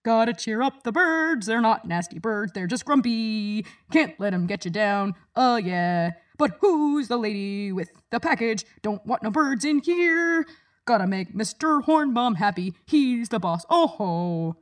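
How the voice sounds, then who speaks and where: A woman's voice: on the high side, animated, measured pace. A woman voicing eerie painted clowns who chant in sing-song.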